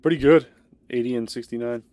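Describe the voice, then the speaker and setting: A man's voice: medium pitch, brisk, mellow, dry recording. A man streaming a horror game, chatting casually with a friend and muttering to himself with sighs. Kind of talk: casual gaming chat.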